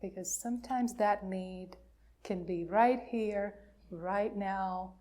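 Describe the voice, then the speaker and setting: A woman minister telling a story to a congregation of all ages, pausing and putting questions to her listeners. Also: medium pitch, dark tone.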